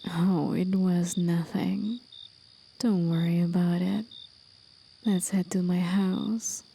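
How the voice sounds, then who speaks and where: A woman voicing a gentle, aged, mysterious demon of the forest in an intimate, soothing, breathy half-whisper for an ASMR roleplay. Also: fairly low pitch, fairly even intonation, takes it slowly, a little hiss or hum. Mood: soft, coy.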